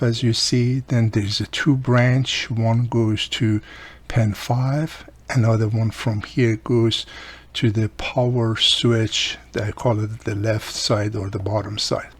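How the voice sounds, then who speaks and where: A husky-voiced man with gives an informal hands-on tutorial. His pitch sits in the middle range for a man. He explains patiently in short, halting phrases, often repeating himself as he goes. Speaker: presenter of a radio repair tutorial.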